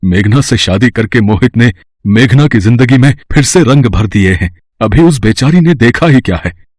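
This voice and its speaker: A man's voice: medium pitch, animated, normal speed, a little mellow, dry recording, studio quality, lightly acted. Man acting an emotional older father. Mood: reflective. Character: emotional older father, sympathetic to his family.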